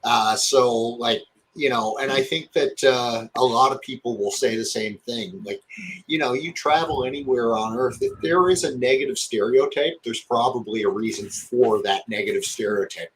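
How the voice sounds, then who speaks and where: A man's voice: mid-range voice, normal speed, slight background noise, rough recording. A man rambling in a casual chat, with many filler words.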